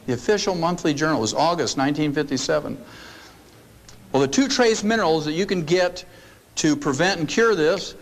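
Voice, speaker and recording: An older man with a fairly high voice lectures a roomful of people in a folksy, storytelling way. He leans on jokes and homespun asides as he makes his points. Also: poor audio. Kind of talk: folksy seminar talk. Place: meeting room before an audience.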